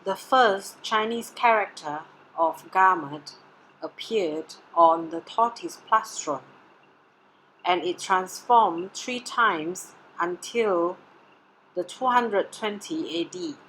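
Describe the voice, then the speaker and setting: Slow, deliberate Mandarin-native woman presenting. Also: on the low side, fairly bright tone, slight background noise, rough recording. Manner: slow, measured, deliberate reading. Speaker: art research student presenting. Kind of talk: read-aloud research presentation.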